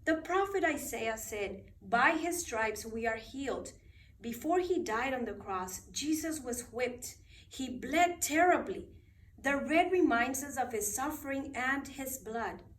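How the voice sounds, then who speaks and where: A woman voicing a kindly, earnest storekeeper man in a storybook, explaining gently and solemnly. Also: sits high, animated, a little slow. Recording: roomy echo, distant microphone.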